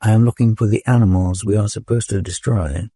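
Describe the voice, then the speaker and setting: A prompt, formal robot who answers every order with a crisp "sir", voiced by a male storyteller. The voice sits fairly low and dry, with little room around it. It is a storybook reading. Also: steady tone, brisk, warm tone, no echo. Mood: dutiful. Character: obedient male pesticide robot.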